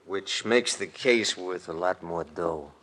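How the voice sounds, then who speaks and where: A man's voice: sits low, takes it slowly, fairly bright tone. An actor playing a greedy, menacing hired gunman in an old radio drama. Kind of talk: 1940s-50s radio drama acting.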